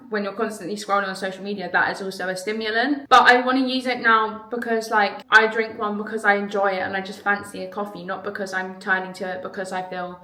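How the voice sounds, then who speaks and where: A woman's voice: mid-range voice, bright, forceful tone, echoey room, rough recording. A young woman, talking candidly and chattily to camera in a reflective vlog, often saying "like". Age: young adult. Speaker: vlogger.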